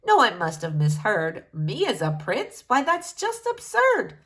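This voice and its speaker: A woman's voice: medium pitch, huge pitch swings, measured pace, a bit bright. A woman voicing a humble, bewildered young man in a storybook, hesitant and full of wonder. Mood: disbelieving.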